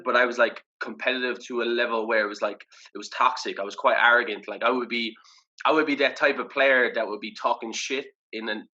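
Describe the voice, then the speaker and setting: A man's voice: medium pitch, bright, forceful tone, highs cut off. A young Irish man in casual interview chat, reflective and loose, full of fillers and restarts. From Ireland. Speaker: podcast guest.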